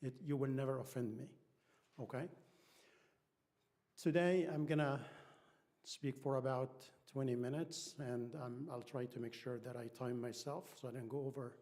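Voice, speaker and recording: A man's voice: mid-range voice, steady tone, moderate pace, darkish tone. A man from Egypt with an Egyptian accent, a native Arabic speaker, giving an informal talk as a guest speaker to a congregation. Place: church hall.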